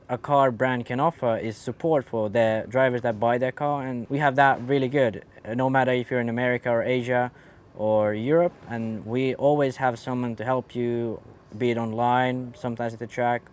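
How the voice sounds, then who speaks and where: A young man of eighteen from Finland, a racer talking casually and quickly with lots of fillers. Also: medium pitch, steady tone, clear, resonant tone. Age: young adult. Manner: quick, with many fillers and restarts. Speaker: RC car racer. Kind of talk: casual interview.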